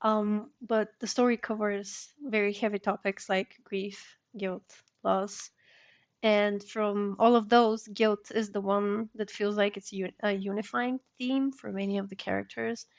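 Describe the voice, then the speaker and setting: A woman's voice: mid-range voice, fairly even intonation, unhurried. A woman co-hosting a podcast, asking questions and chatting in an earnest, talkative way. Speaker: podcast co-host. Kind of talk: casual podcast chat.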